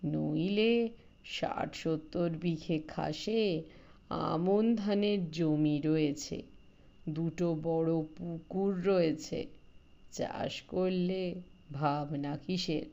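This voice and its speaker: A woman's voice: low-pitched, drawn-out words, gravelly, warm tone, highs cut off, exaggerated voice. A woman voicing a talkative old village man, folksy, coaxing and boastful, in an audio story.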